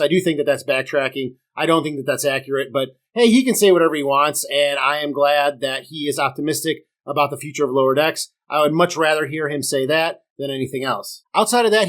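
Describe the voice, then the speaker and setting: A man's voice: a little high, fairly bright tone. A man with a slightly raised voice hosts a casual podcast, talking through his opinions in a loose, chatty way. He is sick and his throat is sore, so his voice sounds a little strained. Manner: chatty, opinionated, addresses viewers directly. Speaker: podcast host.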